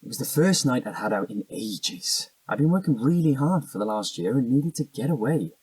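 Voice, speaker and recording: Cheating husband, audio drama: rough-edged, highly animated, defensive and evasive, naturalistic delivery, a little back from the mic. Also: male voice, moderate pace, no room sound, recorded from a distance, poor audio. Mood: indignant defensive. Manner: defensive, protesting, stammering when cornered.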